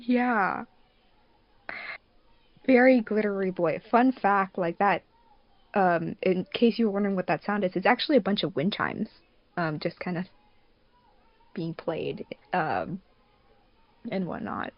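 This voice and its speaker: A woman's voice: medium pitch, unhurried, pauses often, no echo, muffled recording. A woman chatting casually as a panelist on a group podcast. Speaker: podcast panelist, fandub production crew member.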